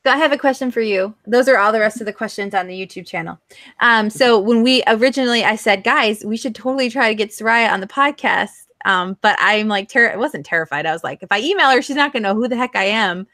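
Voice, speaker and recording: A woman's voice: fairly high pitch, fast talker, pushed and bright, dry recording, studio quality. An American woman hosting a podcast, asking questions in an informal, chatty way. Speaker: podcast host. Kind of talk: casual interview chat.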